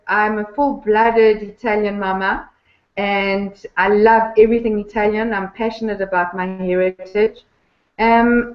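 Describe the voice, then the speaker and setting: A woman speaks at a middling pitch, warm and eager, taking her time with thoughtful pauses. Her voice comes through a remote call that sounds roomy and dull, like a lo-fi line. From Zimbabwe. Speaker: guest. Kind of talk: casual interview chat.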